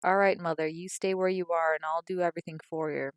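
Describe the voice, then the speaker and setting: A woman voicing a patient, guarded young working-class daughter with a Cockney accent, answering quietly. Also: fairly low pitch, flat delivery, fairly quick, no echo, studio quality, lightly acted. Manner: quiet, evasive.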